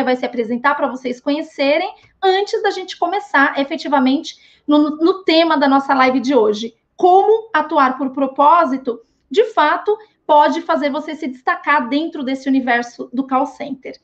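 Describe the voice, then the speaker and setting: A high-pitched woman in her forties hosts a live interview over a webcam and greets her guest with encouragement and thanks. The sound is dull and muffled, like typical video call audio. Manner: warm, grateful and encouraging. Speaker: host of a leadership live stream.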